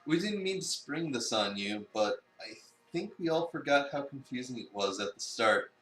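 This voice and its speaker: A man's voice: medium pitch, expressive, moderate pace, fairly bright tone, close to an everyday voice. A man playing a bossy but caring male cafe leader in a visual novel reading, with a dry manner. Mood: reassuring. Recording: roomy, distant from mic.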